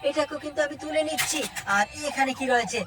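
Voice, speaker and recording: A woman's voice: high voice, fast. A woman presenting toys to viewers in an exclamatory way, with short phrases and frequent wows. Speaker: presenter of a toy video. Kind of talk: toy showcase narration for viewers.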